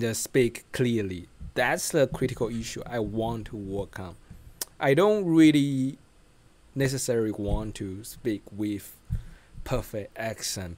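This is a man in his thirties with a Chinese accent who talks at a middling pitch into a studio mic set close to him. His English is casual and halting, full of fillers and restarts. He sounds like he is practicing as he goes. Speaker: vlogger. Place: small bright room indoors. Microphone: studio mic close in front.

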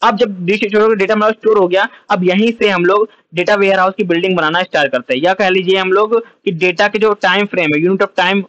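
A man's voice: high voice, fairly even intonation, fairly quick, few pauses, fairly bright tone, no room sound, highs cut off. A man teaching a whiteboard lecture, who explains step by step and asks and answers his own questions. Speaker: computer science teacher.

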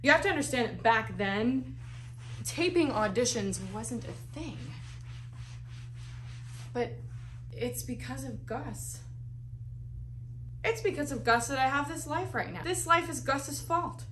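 A woman from Las Vegas, a salon owner, telling her own story in a frank, salty, animated way. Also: sits high, unhurried, pauses often, a little hiss or hum. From Las Vegas, United States. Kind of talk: candid personal storytelling.

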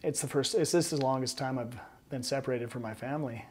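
American guy being interviewed at home, normal pitch, talking about his own life. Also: moderate pace, a little mellow, husky. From United States. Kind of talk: personal account in an interview. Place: living room at home.

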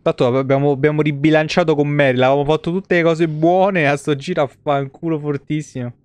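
Male streamer, Roman accent, clear resonant voice; loose, colloquial, swear-heavy play-by-play from a home setup. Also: on the high side, steady tone, fairly quick, a little mellow, no room sound. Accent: Roman Italian. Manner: foul-mouthed, rambling, colloquial. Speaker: game streamer. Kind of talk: casual live gameplay commentary. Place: small indoor streaming room.

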